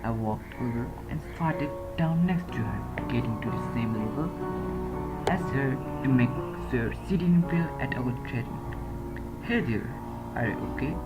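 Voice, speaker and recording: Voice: mid-range voice, a little slow. A narrator reading a scripted horror story. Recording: muffled lo-fi under loud music. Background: loud music.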